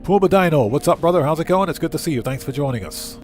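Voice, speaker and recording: A man's voice: fairly high pitch, expressive, fast talker. Casual male game streamer over music. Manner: conversational, informal, reads out chat and answers it. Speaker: live streamer, channel host. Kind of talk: casual gaming livestream commentary, chatting with viewers. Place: indoor room at home.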